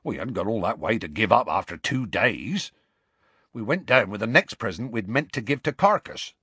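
Gruff, rustic big fellow. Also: male voice, lowish voice, lively intonation, moderate pace, bright, forceful tone, no echo, heavily acted. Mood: grim storytelling. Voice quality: gruff, growly. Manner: colloquial, rambling, dropped consonants. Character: big gruff rustic man, warm-hearted, indignant storyteller.

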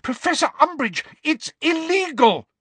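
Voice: sits high, big pitch swings, a little slow, a bit bright, no room sound, pitched above the performer's own voice, full character voice. A reader voicing a desperate, pleading teenage schoolgirl in a storybook, with a gulping, tearful delivery. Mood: alarmed. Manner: shrieking, gulping, sobbing.

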